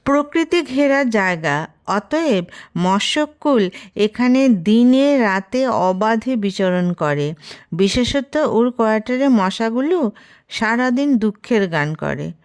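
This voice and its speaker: A woman reading a short story as scripted narration, expressive and gently wry. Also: on the high side, slow pace, clear, resonant tone.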